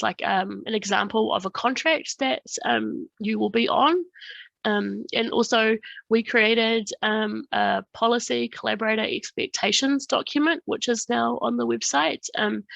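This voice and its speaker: A New woman with a cold, her voice gravelly as it starts to go. She presents a workshop in a hesitant, casual way, with lots of ums, and the recording is dry and close. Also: mid-range voice, no echo, lo-fi sound. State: has a cold, losing her voice. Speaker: funding program presenter.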